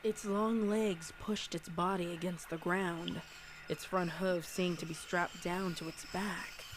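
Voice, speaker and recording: A woman's voice: fairly low pitch, steady tone, unhurried. A woman reading fan fiction aloud as a storyteller, with casual reaction asides. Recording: faint background noise.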